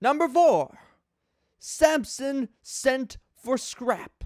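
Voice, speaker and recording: A man giving a mock-dramatic reading as an aggrieved, earnest cartoon fan reviewing episodes, halting and heavily emphasising words. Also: very high-pitched, lively intonation, a little mellow, no echo, clean studio sound, voice pushed up, full character voice. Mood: disappointed. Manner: melodramatic, halting, heavy emphasis.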